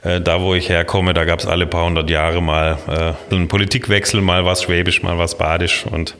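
Low-voiced Swabian-Alemannic man in his fifties. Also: level tone, fairly quick, a bit bright, in a large hall. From Baden-Württemberg, Germany. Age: 50s. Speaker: city planning official, guest. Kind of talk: podcast interview.

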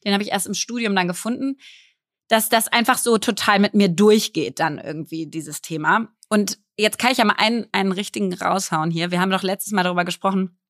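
A woman's voice: mid-range voice, a little fast, a bit bright, no echo. Woman, 30s, from Berlin, podcast co-host, candid, laughs at herself, dry room. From Berlin, Germany. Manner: candid, self-deprecating, laughs often. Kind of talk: casual chat.

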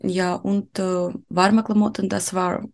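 A young woman with a Ukrainian accent speaks slowly in German, her second language. She hesitates often and fills gaps with soft "äh" sounds. Her pitch sits in a middle range. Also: level tone, vocal fry. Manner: slow and halting, searching for words with many "äh" pauses. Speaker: guest. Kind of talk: interview in a learned language.

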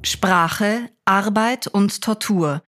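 Slow-paced female radio play announcer. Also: mid-range voice, a bit bright. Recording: music behind the voice.

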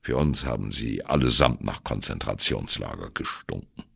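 An older free-jazz drummer in a radio play, reminiscing with bitter irony. His voice is extremely low and husky, and it swings expressively as he tells his story. The sound is dry and close. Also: expressive, moderate pace, a bit bright, no room sound, heavily acted. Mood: wry, defiant. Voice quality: gravelly, husky. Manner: sardonic, conversational storytelling. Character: wry, embittered old German free-jazz drummer reminiscing. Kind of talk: dramatic first-person radio play monologue.

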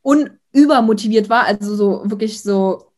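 A young woman, an influencer, chatting casually in an interview, outgoing and talking in quick bursts with many fillers. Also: mid-range voice, darkish tone. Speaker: influencer guest.

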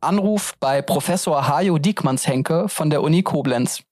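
Host: man, a bit high, friendly interviewer, soft music under him. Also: normal speed. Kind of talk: radio-style interview and narration.

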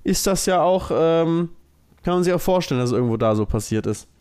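Higher-pitched man chatting casually. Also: animated, fairly quick, darkish tone, clean tone. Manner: colloquial, loosely explaining. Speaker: podcast co-host. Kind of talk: casual chat.

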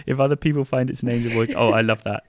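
A man's voice: medium pitch, fairly even intonation, quick pace, slight background noise. A man in his thirties with a German accent, chatting casually as a podcast co-host with a lot of fillers. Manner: chatty, rambling with frequent fillers.

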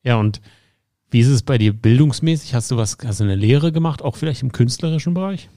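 A man hosting a sports team podcast, asking casual, quick follow-up questions in an interview. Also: medium pitch, animated, mellow, dry recording, studio quality. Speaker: podcast host.

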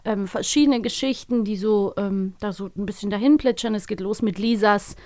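A woman's voice: medium pitch, normal speed, warm tone, dry recording. A young woman hosting a podcast, chatting casually with a co-host. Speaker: host of an audio drama review podcast.

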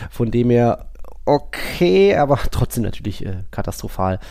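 A man's voice: mid-range voice, big pitch swings, normal speed, creaky, warm tone. A man hosting a football podcast, chatting casually and colloquially about matches, often with a chuckle in his words. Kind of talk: casual sports chat.

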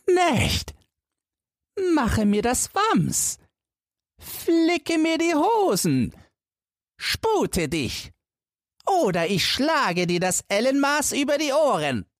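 A man voicing a boastful little tailor king from a fairy tale, commanding and drawn-out as he talks in his sleep. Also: wildly swooping pitch, no room sound, studio quality, exaggerated voice. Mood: bossy, dreamy. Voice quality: bright, high-pitched put-on voice.

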